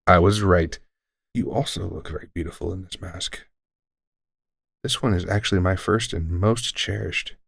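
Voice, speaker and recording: A man playing a deranged centuries-old elf shopkeeper who is courteous, formal and unsettlingly calm, in an audio roleplay. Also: deep voice, moderate pace, vocal fry, husky, no room sound, studio quality, full character voice. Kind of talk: scripted audio roleplay addressing the listener.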